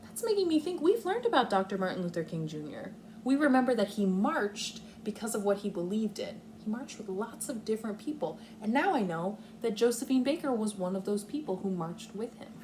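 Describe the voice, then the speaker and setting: A woman teacher with a lively, varied pitch leads a read-aloud lesson for young children. She explains her thinking aloud step by step. There is some faint background noise on the recording. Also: brisk. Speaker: kindergarten teacher.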